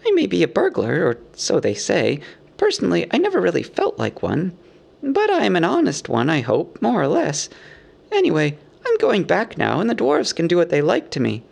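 A man voicing a small, fussy, anxious male hobbit from a storybook, squeaky, polite and rambling in a businesslike way. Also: wildly swooping pitch, normal speed, vocal fry, full character voice. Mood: sheepish. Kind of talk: storybook character voice.

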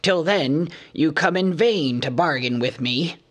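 A man's voice: a little high, lively intonation, pitched above the performer's own voice. A man reading an audiobook, voicing a proud, stubborn older dwarf king with a grave, wrathful manner. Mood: stern. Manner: grave and measured, growing furious.

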